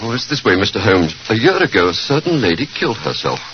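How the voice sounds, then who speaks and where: An actor playing a fearful, deferential butler in old radio drama style, stammering and pleading. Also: male voice, fairly low pitch, big pitch swings, fast talker. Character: frightened, deferential male butler pleading for help. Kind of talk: 1940s radio drama acting. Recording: dull, noisy vintage radio recording.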